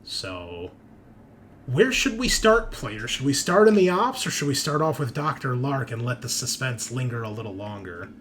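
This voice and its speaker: A man narrating a tabletop roleplaying game as game master, in a conversational, halting way with emphatic swells. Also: medium pitch, very animated, a bit bright. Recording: clear background noise.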